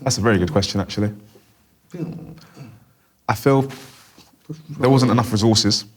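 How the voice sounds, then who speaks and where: A man, voicing a deaf guest's answers in first person as a sign language interpreter, in steady, flowing short clauses. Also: fairly low pitch, normal speed.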